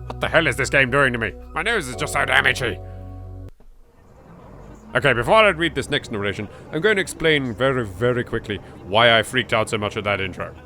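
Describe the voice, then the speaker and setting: A man with an English accent hosting a let's play, reading narration aloud and breaking in with animated, jokey asides. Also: mid-range voice, a little fast, soft background music. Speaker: gaming video host.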